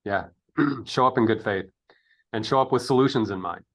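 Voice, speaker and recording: A middle-aged man with a lowish voice talks through his thoughts in a conversational interview. He is earnest and a little hesitant, often searching for the right word. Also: normal speed. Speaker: interview host. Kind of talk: reflective conversational discussion.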